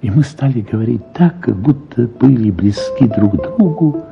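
A man reading a first-person literary story for radio in an expressive, reflective manner, with drawn-out words and pauses. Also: medium pitch, creaky. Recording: slightly dull radio sound, music behind. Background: soft music.

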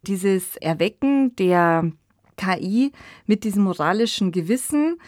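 A woman's voice: mid-range voice, animated, a little slow, a little mellow, studio quality. A woman. She sounds like a podcast co-host in analytical conversation, recorded without any room echo.

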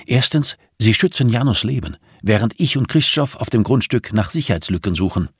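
A man's voice: lowish voice, lively intonation, fairly quick, slightly rough, no echo, studio quality, pitched above the performer's own voice. A man voicing an arrogant, irritable, sardonic investigator with a clipped, condescending manner in an audiobook. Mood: commanding.

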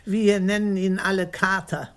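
A woman's voice: medium pitch, flat delivery, normal speed, fairly bright tone, lightly acted. An actress playing a stout, defiant middle-aged woman in a German radio crime drama, blunt, dry and sardonic. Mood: scornful, matter-of-fact. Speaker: murder suspect, former maths teacher.

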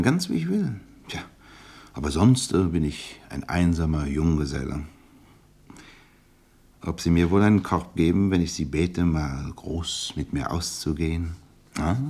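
An actor playing an effusive, ingratiating bachelor businessman in a German radio play, with a husky voice and a gushing, hesitant manner. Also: low voice, heavily acted. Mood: flirtatious. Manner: gushing, hesitant, chuckling between phrases. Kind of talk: naturalistic German radio drama acting.

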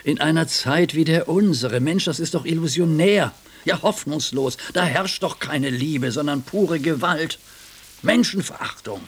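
An actor in a radio play voicing an educated, pious but doubting gentleman with a husky voice and an earnest, questioning manner. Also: on the high side, unhurried. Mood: despairing, urgent.

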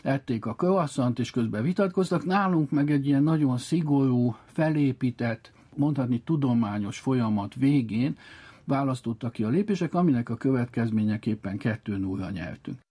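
Male expert, slightly husky and mellow, explanatory storytelling delivery. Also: medium pitch. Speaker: chess expert guest. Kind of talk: explanatory storytelling about history.

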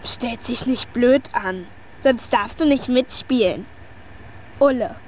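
A woman's voice: a little high, takes it slowly, noisy background. A woman playing a grumpy, bossy schoolgirl cousin in a children's radio play, reading out a grudging letter. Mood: sullen, snotty.

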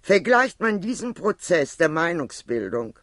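A man reading a formal philosophical lecture from a prepared text, with measured, precise and emphatic phrasing. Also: fairly high pitch, big pitch swings, a bit bright, no echo, studio quality.

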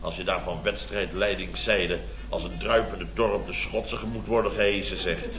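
An actor playing a blustering, exasperated boss of a building firm in a radio comedy, indignant, sputtering and repeating himself. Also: male voice, deep voice, steady tone, normal speed, fairly bright tone. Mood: scornful. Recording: dull old radio recording, roomy, studio audience.

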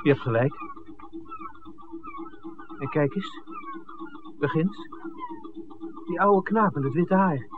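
An actor playing an inquisitive film-maker visiting a village in a 1960s radio play, conversational and full of questions. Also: male voice, high-pitched, huge pitch swings, slow pace, pauses often, full character voice. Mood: curious, puzzled. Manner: questioning, conversational, hesitant asides. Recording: tinny, noisy 1960s radio recording.

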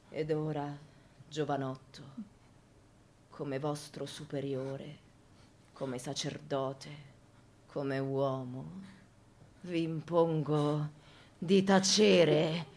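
A woman's voice: deep voice, lively intonation, very slow, pauses often, bright, forceful tone, heavily acted. A woman playing a stern old male priest, pompous and emphatic, in a theatrical radio drama. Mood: stern command.

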